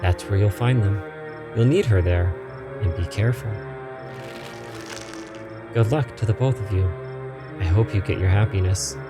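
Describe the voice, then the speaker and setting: A man's voice: lowish voice, normal speed, long pauses, over loud music, lightly acted. A man voicing an earnest, cryptic woman guide who gives solemn warnings in a game read-aloud. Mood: gentle farewell. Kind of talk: visual novel character read-aloud.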